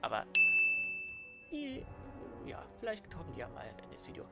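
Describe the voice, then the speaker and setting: A man's voice: high-pitched, huge pitch swings, normal speed, creaky. A man with a German accent giving casual, rambling let's play commentary with teasing banter, game music behind him.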